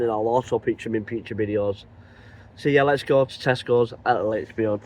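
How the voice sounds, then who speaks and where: A man with a cold and a croaky voice chats rambling and casually in his truck cab as he drives. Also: mid-range voice, darkish tone. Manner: rambling, chatty, many restarts. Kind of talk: casual vlog chat while driving.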